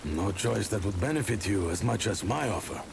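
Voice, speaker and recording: A man's voice: on the low side, animated, moderate pace. A man playing a formal, courteous medieval city ruler in a video game cutscene. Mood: gracious, formal. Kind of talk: dramatic game cutscene acting. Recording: rain and clear background noise.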